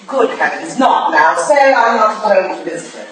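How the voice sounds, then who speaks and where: A woman's voice: mid-range voice, lively intonation, a little slow, natural voice. A woman playing a stern, curt, unyielding older Victorian lady detective in a staged play reading. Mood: curt, defiant. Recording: echoey hall, distant mic, hissy noise.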